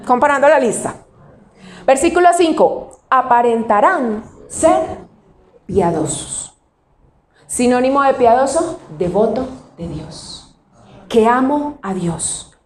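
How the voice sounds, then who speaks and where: A woman pastor from Colombia with a Cali accent, preaching a sermon. She puts questions to the congregation and answers them herself, and she slows down to stress single words. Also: high-pitched, echoey room. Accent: Cali Colombian Spanish.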